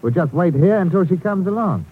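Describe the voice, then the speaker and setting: A man's voice: sits high, animated, brisk, breathy edge. A man playing a seamy, sneering small-time crook with a mock-genial manner in a 1950s radio drama. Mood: smug, menacing. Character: seamy, gloating little male small-time crook with gun. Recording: tinny, slightly noisy old radio recording.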